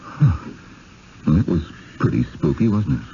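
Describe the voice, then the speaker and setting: A skeptical, deep-voiced, very animated husband. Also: male voice, measured pace, heavily acted. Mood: puzzled, wary. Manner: incredulous, emphatic. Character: skeptical city husband, exasperated, proud and suspicious. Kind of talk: 1970s radio drama acting. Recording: muffled, hissy 1970s radio broadcast.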